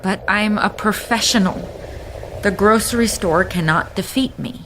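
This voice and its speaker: A woman playing a grieving, wry hospice nurse in a naturalistic audio drama, confiding in a halting, candid way. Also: mid-range voice, noisy background, lightly acted. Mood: confiding, bitter. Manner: halting, confiding, dry-humored.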